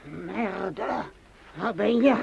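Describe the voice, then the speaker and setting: An actress in a radio drama playing a coarse, defiant, cursing woman with a creaky, snarling voice. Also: medium pitch, drawn-out words, a little mellow, heavily acted. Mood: cursing angry. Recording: dull old radio play recording.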